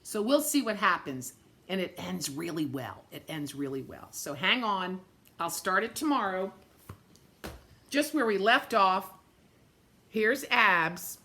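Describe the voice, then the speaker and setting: A woman reading a children's story aloud in an expressive, dramatic way, with chatty asides spoken directly to young listeners. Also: mid-range voice, slow pace. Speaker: story-time reader for children.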